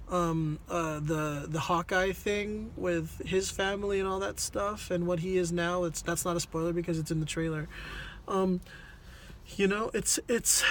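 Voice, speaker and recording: A man with a fairly high voice talks through a film review in a casual, conversational way. He rambles and often stops to search for his words. There is some faint ambient noise behind him. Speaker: film reviewer on a video channel.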